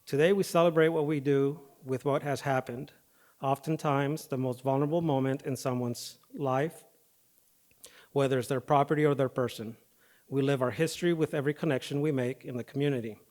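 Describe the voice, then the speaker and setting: A man speaking into a podium microphone at an awards ceremony. His voice sounds slightly affected by recent dental work, and his pitch sits at an ordinary middle level. He reads a prepared speech in a steady, earnest way. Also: fairly quick. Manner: hesitant start with fillers, then steady reading of a prepared speech. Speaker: firefighter paramedic and foundation president.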